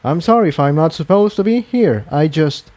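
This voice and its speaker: A man's voice: fairly high pitch, animated, normal speed, a little mellow, lightly acted. A man voicing a male pony adventurer who is uneasy, hesitant and apologetic, in a storybook reading. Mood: nervous, apologetic.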